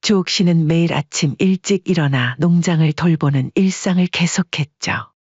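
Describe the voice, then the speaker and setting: A narrator reading a story in an even, measured way as an audiobook. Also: mid-range voice, husky, dry recording, highs cut off.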